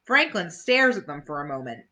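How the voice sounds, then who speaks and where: A woman reading a children's bedtime story aloud expressively, pausing to chat to her young listeners. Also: deep voice, big pitch swings, pushed and bright.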